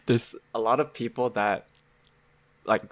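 A young man born in Korea, who moved to North America when young, chatting as a podcast co-host, animated and laughing, with many restarts. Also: mid-range voice, slow pace. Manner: animated, stumbles and restarts a lot, laughs often. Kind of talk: casual chat.

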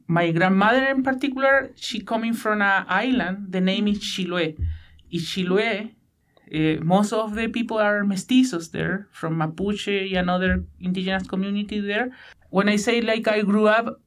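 A man in his thirties from Chile with a Chilean Spanish accent, answering interview questions in halting English with many fillers and restarts. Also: high voice, a bit bright. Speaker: guest, PhD student.

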